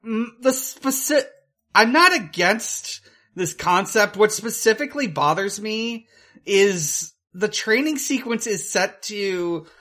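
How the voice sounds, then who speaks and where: A woman co-hosting a podcast, talking casually and haltingly about a movie, with some swearing. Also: mid-range voice, animated, a bit bright. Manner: halting, profane. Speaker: podcast co-host.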